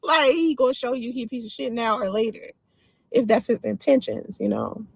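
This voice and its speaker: A woman's voice: on the high side, a little fast, vocal fry, husky, no echo. A woman in her forties from the Washington, D.C. area, hosting a casual livestream and speaking her mind while answering viewer comments. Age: 40s. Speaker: livestream host.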